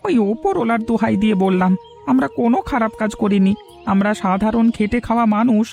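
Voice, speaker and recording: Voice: medium pitch, fast talker, creaky, a little mellow, natural voice. Cartoon old village cook, male character, voiced by an actor: earnest, helpless, pleading, light music underneath. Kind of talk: Bengali cartoon voice acting.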